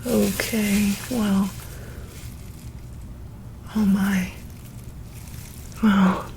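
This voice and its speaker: A woman speaking softly and breathily, near a whisper, with gentle hesitant pauses, playing a calm medic in an ASMR roleplay. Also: mid-range voice, creaky. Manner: hesitant, gentle, pausing often mid-sentence. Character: calm, attentive female medic examining a monster patient. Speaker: ASMR performer playing a monster hospital medic. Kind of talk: soft-spoken ASMR roleplay. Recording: noticeable background noise.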